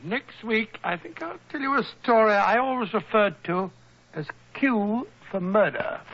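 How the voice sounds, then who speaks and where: A man acting a bumbling, blustering older British gentleman with a husky voice, in 1940s radio drama style. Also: high voice, animated, a little slow, full character voice, poor audio. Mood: genial. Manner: blustering, genial.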